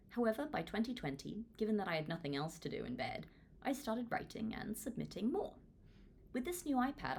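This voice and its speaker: A woman from Hong Kong reading a book aloud in an expressive, informal, self-deprecating way, like a read-along. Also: lowish voice, gravelly, a little hiss or hum. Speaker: author reading their own book aloud. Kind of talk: audiobook read-along with casual asides.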